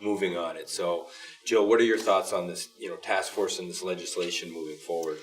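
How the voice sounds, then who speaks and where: A man's voice: deep voice, steady tone, brisk, far from the mic. A man talking casually and conversationally as co-host of an interview show. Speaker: podcast co-host, school superintendent.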